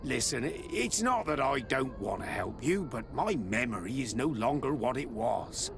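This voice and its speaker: Gravelly old sea captain, wily. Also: male voice, mid-range voice, very animated, normal speed, music in the background. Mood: guarded. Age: older. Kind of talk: video game cutscene acting.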